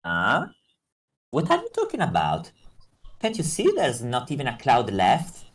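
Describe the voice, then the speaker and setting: Visual novel narrator played by a woman: dry, exasperated roommate, very low, swooping delivery. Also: wildly swooping pitch, measured pace, husky, exaggerated voice.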